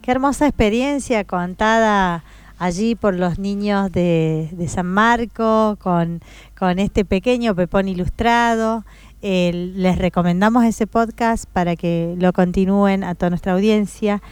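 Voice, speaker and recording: A woman's voice: medium pitch, measured pace, clean tone, no echo. A woman hosting a radio program, chatting informally with a co-host. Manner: warm, informal, talks to co-host as "compa". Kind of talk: conversational radio chat.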